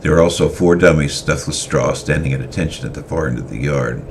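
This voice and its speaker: A man reading a story aloud in a semi-dramatic style, halting often with fillers and restarts. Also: a bass voice, slight background noise.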